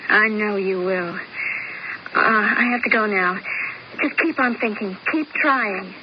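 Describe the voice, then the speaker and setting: A woman's voice: medium pitch, slow, lightly acted. An actress playing an anxious, dramatic young woman who pleads melodramatically, in a 1970s radio drama. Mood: pleading. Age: young adult. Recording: dull old radio broadcast with hiss.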